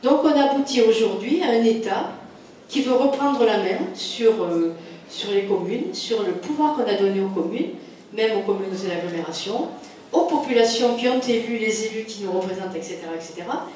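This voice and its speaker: Female French local politician, mid-pitched and emphatic, stump-speech delivery, recorded at a distance with faint noise. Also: animated, normal speed, darkish tone. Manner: emphatic, insistent, with rhetorical questions and repetition. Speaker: local elected official campaigning for parliament. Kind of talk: political campaign speech to a meeting. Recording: very echoey room, distant mic, faint noise.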